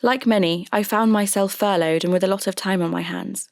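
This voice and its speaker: An actress playing a curious radio DJ hosting a podcast, narrating in a measured, conversational way. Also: female voice, mid-range voice, no room sound, clean studio sound, close to an everyday voice. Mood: measured, intrigued. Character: spooky-curious female radio DJ turned podcast host. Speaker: host.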